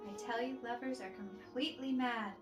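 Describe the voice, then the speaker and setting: A high-voiced, sassy servant girl from a stage comedy, put on by a woman reader. The maid is bossy and theatrically exasperated, heard in a roomy space with music behind. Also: normal speed, close to an everyday voice. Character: sassy, bossy ladies' maid, sharp-tongued and scolding.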